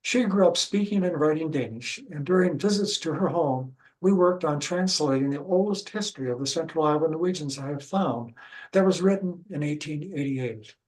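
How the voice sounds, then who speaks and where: An older man with a Midwestern American accent, a local historian giving a prepared talk in a measured, careful way. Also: fairly high pitch, a little mellow, poor audio. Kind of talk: reading a prepared lecture.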